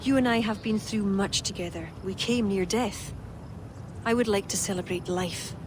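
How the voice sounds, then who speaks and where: A woman playing a solemn, regretful druid, speaking in earnest, measured, lyrical phrases in a dramatic video game. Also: mid-range voice, close to an everyday voice. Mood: tender. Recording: clear outdoor ambient noise behind voice.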